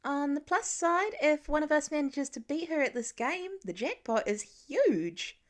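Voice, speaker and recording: A sardonic teenage girl, as a woman reader plays her in a storybook. Her delivery is theatrical, high and swooping, full of mock drama. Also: animated, a little slow.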